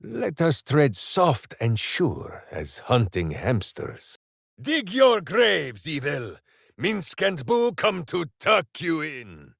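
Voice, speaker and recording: A boisterous, simple-minded video game ranger with a hero's earnest heart. He declaims theatrically at a slow, weighty pace, and his pitch leaps and plunges dramatically. The male voice is captured very dry and close, with no room around it. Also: wildly swooping pitch, creaky, fairly bright tone, husky, dry recording, full character voice. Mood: battle-roaring. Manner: grandiose third-person declamation, earnest and theatrical. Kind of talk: video game character voice lines.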